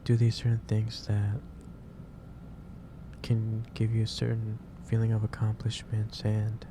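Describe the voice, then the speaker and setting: Male, low-pitched, gentle boss roleplay in ASMR style, halting advisory delivery, over clearly noisy ambience. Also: monotone. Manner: hesitant, halting, pausing mid-sentence, gently advising. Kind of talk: soft ASMR roleplay, improvised monologue to the listener.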